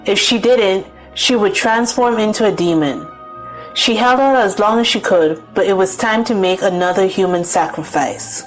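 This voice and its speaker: A woman's voice: medium pitch, moderate pace, low-quality recording. A woman storyteller giving scripted, dramatic narration of a folktale. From Caribbean. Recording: music bed behind the voice.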